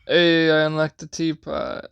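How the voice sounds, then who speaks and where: A man's voice: a little high, monotone, measured pace, fairly bright tone, lo-fi sound, a little hiss or hum. A young man giving casual gameplay commentary in a slangy, offhand way with some swearing. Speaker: gamer playing on camera or stream.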